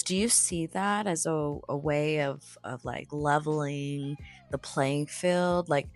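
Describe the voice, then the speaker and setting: A woman podcast host giving a poetic, deliberate introduction and asking interview questions. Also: deep voice. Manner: slow, deliberate, solemn delivery.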